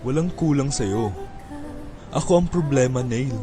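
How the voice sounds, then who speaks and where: A man's voice: mid-range voice, expressive, unhurried, over music, lightly acted. A man playing a guilty, apologetic boyfriend pleading with his partner in a radio drama. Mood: confessing. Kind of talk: amateur radio drama acting.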